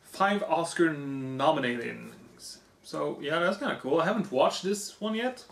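A hoarse young man on a living room couch, casually reading off movie box features. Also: fairly high pitch, expressive, unhurried, slight background noise. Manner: reads off lists, offhand comments. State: hoarse, losing his voice. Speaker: vlogger. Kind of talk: casual unboxing chat. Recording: echoey room.

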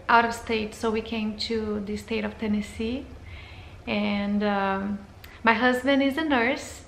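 A woman's voice: fairly high pitch, roomy sound, a little hiss or hum. A woman in her thirties from Brazil, speaking English with a Brazilian Portuguese accent in a hesitant, casual intro to camera, with fillers and restarts. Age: 30s. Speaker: vlogger.

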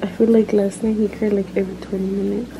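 A woman's voice: mid-range voice, level tone, fast, far from the mic. A woman in her twenties, a new mother, chatting informally with some slang. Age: 20s. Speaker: vlogger's partner and new mother. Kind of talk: casual chat. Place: small hospital room, in bed. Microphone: vlog camera held by someone else. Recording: clearly noisy room ambience.

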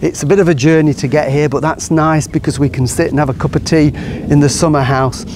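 A middle-aged man giving a relaxed, enthusiastic guided tour of his garden outdoors, explaining as he walks. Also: a little high, a little fast, noisy background. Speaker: gardener and show host.

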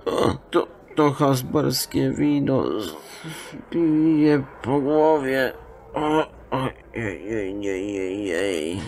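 An actor playing a drunk, muddled, suspicious rich nobleman in an audio drama, stammering and slurring as he mumbles. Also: mid-range voice. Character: drunk wealthy nobleman, muddled, suspicious, pining for his lady.

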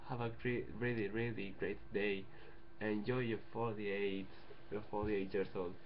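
A man giving a playful, drawn-out birthday greeting into his webcam. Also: fairly low pitch, little pitch movement, highs cut off, slight background noise.

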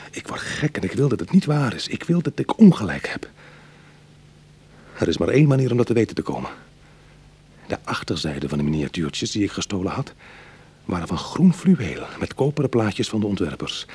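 A reformed burglar in a radio drama, speaks in a very husky, lowish voice that swings widely in pitch. He is streetwise and uneasy, confiding his story as he goes. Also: full character voice. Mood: shaken. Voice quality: husky, breathy. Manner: hushed confiding narration, exclamatory in dialogue.

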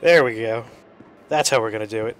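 Male gaming host, average pitch, casual and playful, self-mocking delivery over prominent music. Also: fairly quick. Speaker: show host, gamer. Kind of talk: casual let's-play commentary. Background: music and game gunfire.

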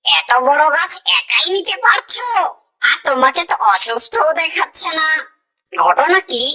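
A woman's voice: high-pitched, expressive, measured pace, sharp tone, pitched above the performer's own voice, full character voice. A woman voicing a greedy, scheming, impatient boy in a moral-story cartoon. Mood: curious, sly.

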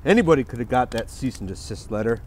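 A man's voice: medium pitch, animated, fast talker. A man in his thirties co-hosting a comedy sports show, delivering lines with mock-dramatic earnestness outdoors in the snow. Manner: theatrical, gravely earnest delivery played for laughs. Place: outdoors in snow beside a stadium.

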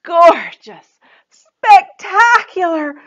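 A helpful young storybook fairy friend, put on by a woman reader, coaxes and gushes in a high voice. She exclaims with enthusiasm, swinging widely in pitch. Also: big pitch swings, very slow, fairly bright tone, exaggerated voice, low-quality recording. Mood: gushing.

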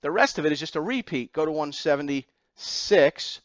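A man's voice: fairly high pitch, expressive, moderate pace, no room sound, lo-fi sound. A man teaching an accounting exam class, lecturing through slides step by step with emphatic repetition and rhetorical questions.

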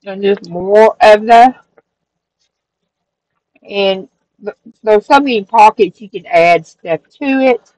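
A woman's voice: mid-range voice, slow pace, pauses often, dry recording, low-quality recording. A middle-aged woman, casually narrating a flip-through of her handmade craft journal, rambling a little and correcting herself as she goes. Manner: relaxed, rambling, with fillers and self-corrections. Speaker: crafting channel host. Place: craft room at a table.

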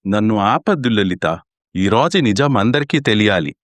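A man's voice: lowish voice, animated, moderate pace, dry recording, close to an everyday voice. Stern old family patriarch acted by a man, dry. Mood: stern, reproachful. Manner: commanding, reproachful. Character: stern, blunt elderly father and family patriarch.

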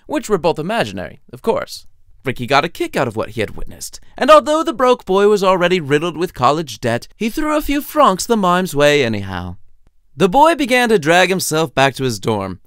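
A man's voice: a little high, a bit bright, no room sound, clean studio sound. A man narrating a scripted story in a playful, wry, theatrical storytelling manner. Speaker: narrator and author.

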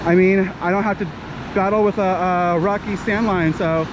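A man's voice: sits high, normal speed, highs cut off, noisy background. Upbeat young man vlogging on a beach. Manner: upbeat, keeps saying he is super excited. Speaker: fishing vlogger. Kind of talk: casual vlog narration to camera. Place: sunny beach outdoors. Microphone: chest-mounted action camera.

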